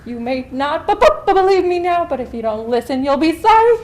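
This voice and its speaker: A woman's voice: sits high, lively intonation, fairly quick, darkish tone. A woman voicing a panicky, scolding farm hen who stutters on her b sounds in a children's puppet show. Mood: alarmed.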